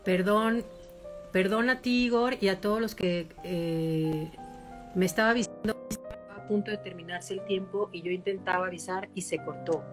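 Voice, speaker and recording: A woman hosting an online conversation, talking casually and apologizing with a lively, chatty manner. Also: mid-range voice, moderate pace, soft background music. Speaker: interviewer host. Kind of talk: casual live-stream conversation. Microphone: online live-stream.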